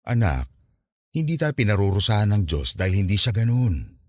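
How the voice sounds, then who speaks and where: A man acting a poor, patient and devout father in a Filipino radio drama, earnest and gently pleading. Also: lowish voice, sing-song, a little fast, no room sound, studio quality. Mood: apologetic, consoling.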